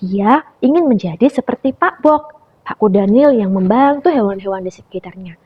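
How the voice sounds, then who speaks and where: A young woman telling a story to preschool children in an animated way, often turning to her listeners. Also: medium pitch, normal speed, background noise. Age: young adult. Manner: animated, calls listeners "friends". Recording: dull lo-fi recording.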